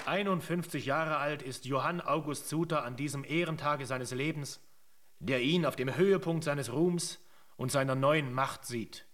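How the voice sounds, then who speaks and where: Male narrator for historical radio drama: grave storytelling, slightly high pitch. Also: moderate pace, fairly bright tone, a little hiss or hum, natural voice. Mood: grave, dramatic.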